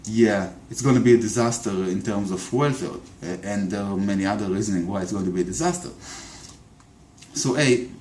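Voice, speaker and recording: A man's voice: fairly low pitch, fairly quick, darkish tone, slight background noise. Hesitant Israeli man in an echoey room. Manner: hesitant, many repeated words and fillers. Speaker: video commentator. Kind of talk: unscripted political monologue.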